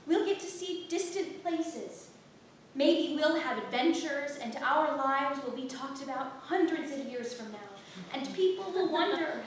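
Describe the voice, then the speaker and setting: Woman playing young maidservant, high voice, tired n earnest, super echoey theatre stage reading. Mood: tender. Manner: earnest, exasperated. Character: weary, practical, pleading young maidservant in love. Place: theatre stage, distant microphone. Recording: very echoey theatre, far-off mic, faint noise.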